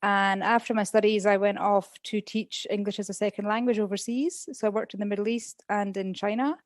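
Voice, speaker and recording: A woman with a Scottish accent, a podcast guest talking openly about her life and pausing often with "um" as she thinks. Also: medium pitch, steady tone, no echo, studio quality. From Scotland. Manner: open, chatty, many "um"s while thinking. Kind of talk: casual interview chat.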